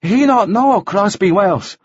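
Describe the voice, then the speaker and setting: Calm goldfield interpreter, acted by a man, broken English, high and husky, audiobook role. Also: slow, lo-fi sound, exaggerated voice. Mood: flat reporting. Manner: broken English, short pleasant replies. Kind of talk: audiobook character voice.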